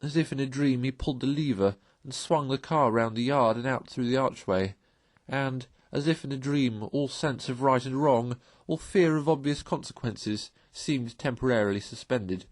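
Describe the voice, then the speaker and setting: A man tells a story in expressive read-aloud narration. His voice sits at a medium pitch, and the recording is dry and close, with very little room sound. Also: normal speed, no room sound. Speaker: storyteller reading a novel aloud.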